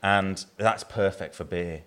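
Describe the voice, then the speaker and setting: A man with a southern English accent answers interview questions about his work as a brewer. His voice sits low, and he rambles, restarting his sentences often and filling the gaps with ums and you-knows. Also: takes it slowly, fairly bright tone. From southern England. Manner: rambling, many restarts and fillers.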